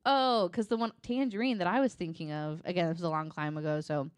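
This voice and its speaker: A woman's voice: lowish voice, fairly even intonation, quick pace, clean tone, no room sound, clean studio sound. A woman co-hosting a podcast, chatting casually and telling rambling, jokey stories while interrupting herself. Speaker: podcast co-host. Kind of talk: casual chat and rambling storytelling.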